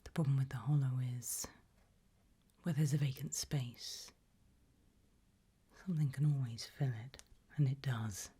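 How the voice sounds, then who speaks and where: A woman speaks in a very breathy whisper, pitched low. She takes her time with each phrase in an unhurried ASMR role-play. Her delivery is measured and quietly ominous, addressed directly to the listener. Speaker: ASMR host playing a fear-test examiner.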